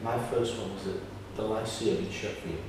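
An older man chatting casually in an interview, halting and repeating words as he tells anecdotes. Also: on the low side, fairly even intonation. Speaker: pantomime writer and actor. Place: small indoor room, seated on sofa. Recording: echoey room, distant mic, faint noise.

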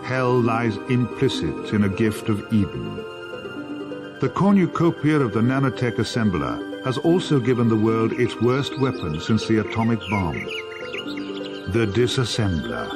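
Grave war-story narrator, portentous, deep-leaning voice, widely swinging intonation, video game cutscene. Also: male voice, big pitch swings, a little slow. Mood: grave, ominous. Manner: solemn, portentous. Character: grave, brooding male narrator of a dark future war. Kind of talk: scripted dramatic narration. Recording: loud music behind the voice. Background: loud music.

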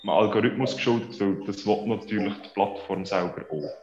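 A man's voice: lowish voice, a little fast, darkish tone. Swiss German man, echoey dull sound. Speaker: interview guest, social media creator. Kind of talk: casual interview chat. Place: small room indoors on a video call. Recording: echoey, dull video-call sound, music behind.

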